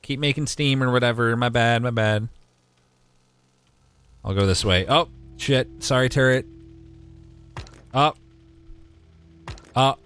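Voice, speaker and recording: A man in his twenties with a deep voice gives casual, wry live gaming commentary and talks back to his chat. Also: slow pace, pauses often, fairly bright tone, clear, resonant tone, dry recording. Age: 20s. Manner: wry, joking, mild swearing, talks to chat. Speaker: game streamer.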